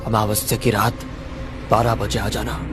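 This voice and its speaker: A man's voice: on the low side, level tone, measured pace, background noise, lightly acted. A man playing a boastful, menacing occult ascetic in a horror cartoon story, theatrically repeating key words with relish. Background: loud background music.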